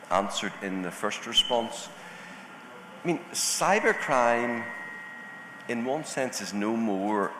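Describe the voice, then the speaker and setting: A man from Northern Ireland with a Northern Irish accent, speaking deliberately as a panelist at a conference. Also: fairly low pitch, a bit bright, a little hiss or hum. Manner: deliberate, enunciating carefully. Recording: echoey hall with faint ambience.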